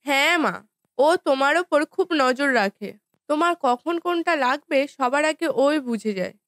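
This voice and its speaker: A woman playing a dutiful, gentle young daughter-in-law in a cartoon family drama, with a deferential, soothing manner. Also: sits high, expressive, normal speed, no room sound, studio quality. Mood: caring.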